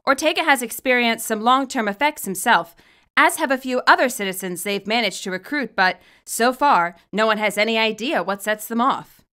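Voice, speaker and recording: A woman reading scripted commentary and story narration, expressive and wry as the author of the tale. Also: on the high side, moderate pace, smooth. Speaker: fan fiction author and narrator.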